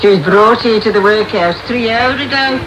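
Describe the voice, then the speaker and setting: An actress playing a kindly old working-class workhouse midwife, crooning and colloquial, in a naturalistic radio drama. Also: female voice, fairly high pitch, normal speed, natural voice. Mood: motherly. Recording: very noisy medium-wave radio recording.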